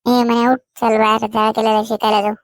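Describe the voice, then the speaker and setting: A woman's voice: on the high side, little pitch movement, fast talker, no room sound, clean studio sound, lightly acted. A woman voicing a playful, teasing village boy sidekick in a comedy cartoon, with a rural Maharashtrian accent. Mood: eager.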